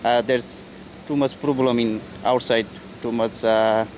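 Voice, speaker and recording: A man's voice: mid-range voice, fairly even intonation, unhurried, noisy background. A man with a Nepali accent, a delivery worker, speaking broken English with many fillers.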